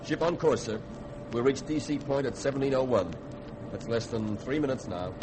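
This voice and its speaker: A man playing a dutiful starship navigator in a 1950s radio drama, reporting in crisp procedural phrases. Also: mid-range voice, expressive, moderate pace, natural voice. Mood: dutiful, focused. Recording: noisy 1950s radio broadcast recording.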